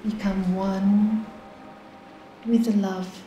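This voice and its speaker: A woman leading a guided meditation, giving slow, soft, calm instructions with long pauses. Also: medium pitch, reverberant, muffled recording, a little hiss or hum.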